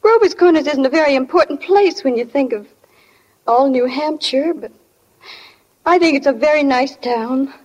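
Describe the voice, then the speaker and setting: A woman's voice: high voice, normal speed, lightly acted. An actress playing an earnest, sensitive small-town teenage girl in love, tender and hesitant, in a 1940s radio drama. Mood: tender, wistful. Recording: dull, lo-fi 1940 radio broadcast.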